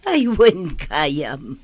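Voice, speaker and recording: A woman plays a greedy, sly mother in a comedy radio drama. She talks slowly with an Ilocano accent, her rather low voice swinging up and down. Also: slightly rough, exaggerated voice. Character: greedy, sly mother figure fond of mahjong gambling.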